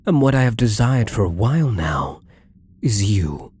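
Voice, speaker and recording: A man's voice: fairly low pitch, very animated, measured pace, darkish tone, slight background noise. A man playing a wealthy, obsessively devoted and controlling gambler in an intimate ASMR roleplay, with doting, menacingly tender delivery and formal wording. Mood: smug, controlling.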